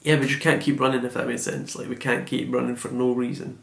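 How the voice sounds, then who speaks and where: A man's voice: medium pitch, level tone, fast talker, gravelly, reverberant. A young man around eighteen recording an earnest, self-reflective birthday vlog, rambling with lots of "like"s. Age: young adult, about 18 to 19. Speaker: vlogger. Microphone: camera mic.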